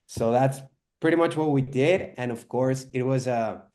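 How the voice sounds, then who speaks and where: A man's voice: medium pitch, normal speed, warm tone. A young man born in Spain, a filmmaker, talking in a rambling way full of "you know" and "like" in an online interview. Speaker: filmmaker guest.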